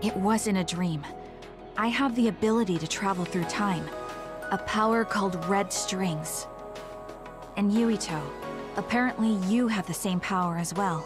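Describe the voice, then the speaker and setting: A woman voicing a serious young female platoon leader, measured and formal, in an anime-style game. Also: mid-range voice, over music, close to an everyday voice. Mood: grave.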